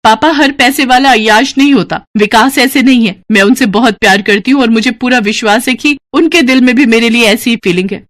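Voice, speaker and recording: A woman's voice: high voice, fairly bright tone, pitched above the performer's own voice. Woman voicing a whiny, defiant young college girl. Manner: whiny, argumentative, emphatic. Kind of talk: dramatic Hindi cartoon story dialogue.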